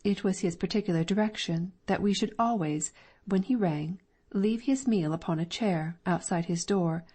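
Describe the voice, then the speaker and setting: A woman's voice: lowish voice, moderate pace, dark tone, husky. A woman reading the part of a respectful older landlady who plainly and deferentially reports to a detective in an audiobook. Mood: earnest.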